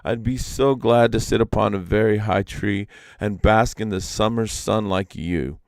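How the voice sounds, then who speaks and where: A man's voice: fairly low pitch, unhurried, dry recording. A man voicing a vain, envious spider fairy trickster from a storybook, sighing and imploring in a theatrical way. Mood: wistful pleading.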